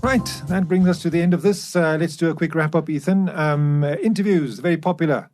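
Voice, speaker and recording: A man's voice: on the high side, brisk, darkish tone, soft background music. A man hosting a podcast in a casual, conversational style. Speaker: podcast host.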